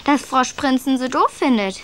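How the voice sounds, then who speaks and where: A woman's voice: high-pitched, normal speed, fairly bright tone, a little hiss or hum. A woman playing a puzzled, concerned child listening to a story in a children's radio play. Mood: puzzled, troubled.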